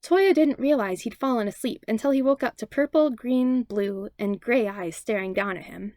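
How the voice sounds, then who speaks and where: A woman's voice: fairly high pitch, moderate pace, darkish tone, dry recording. Woman reading a story, very dry sound. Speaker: podfic reader. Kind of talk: scripted story narration.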